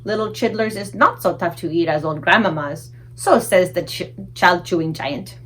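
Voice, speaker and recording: Woman voicing a gentle friendly giant with muddled grammar. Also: lowish voice, moderate pace, close to an everyday voice. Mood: explaining. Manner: folksy broken grammar with muddled words. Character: gentle, small, friendly male giant, humble and kindly. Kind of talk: children's storybook read aloud.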